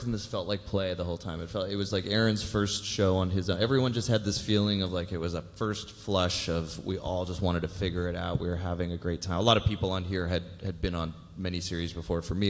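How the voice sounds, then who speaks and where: Low-voiced man in an echoey hall. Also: steady tone, fast, hardly any pauses. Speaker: actor on a cast panel. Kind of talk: casual, joking panel chat. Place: large hall at a live festival event. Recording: echoey hall, noisy live panel recording.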